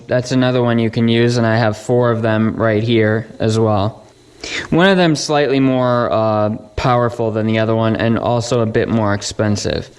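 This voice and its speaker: A man's voice: mid-range voice, clean tone. A young man presenting a hands-on electronics build in a casual, matter-of-fact, informal way.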